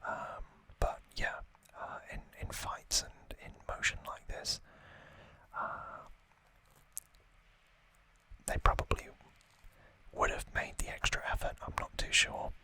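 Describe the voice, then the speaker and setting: Male ASMR host, fully whispered delivery with a crisp bright edge; informal, hesitant, modest and rambling. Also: slow, long pauses, low-quality recording. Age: late 20s or older. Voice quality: breathy, unvoiced whisper. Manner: rambling, hesitant, self-deprecating. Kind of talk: whispered ASMR unboxing chat.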